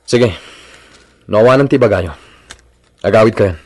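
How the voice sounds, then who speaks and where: Exasperated, low-voiced husband. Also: male voice, unhurried, slightly rough. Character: irritable grown son and husband, fed up and combative. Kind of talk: radio drama acting.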